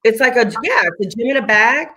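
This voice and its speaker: A woman's voice: a little high, fast, sharp tone. Chatty, enthusiastic woman in her forties. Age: 40s. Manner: enthusiastic, chatty, repeats herself for emphasis. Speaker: fitness instructor. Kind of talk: casual chat.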